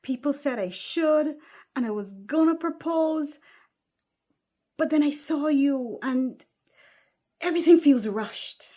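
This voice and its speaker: A woman's voice: high-pitched, expressive, slow, long pauses, dark tone, voice pushed up. A woman voicing a flustered, pleading boyfriend, hesitant and apologetic, in a dramatic script reading.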